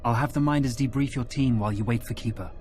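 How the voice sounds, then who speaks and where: An overworked intelligence officer acted by a man in a sci-fi game, with a medium-pitched male voice. He is businesslike and sounds like a busy staffer. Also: fast talker, darkish tone, soft background music, close to an everyday voice. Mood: harried, businesslike. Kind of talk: video game cutscene acting.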